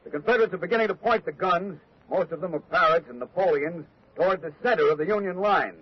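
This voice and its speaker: An actor playing a brisk, matter-of-fact male war correspondent in a 1940s radio news dramatization. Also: high-pitched, lively intonation, slightly rough. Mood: alert. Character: observant male war correspondent at a lookout post. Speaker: radio field reporter. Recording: dull vintage radio broadcast recording.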